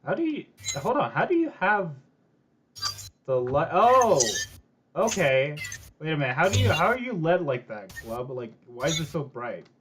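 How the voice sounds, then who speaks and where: A man's voice: a little high, very animated, moderate pace, noisy background. A man hosting a casual livestream, chatting in a rambling way and often repeating himself. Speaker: stream host. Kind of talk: casual chat while building on a livestream.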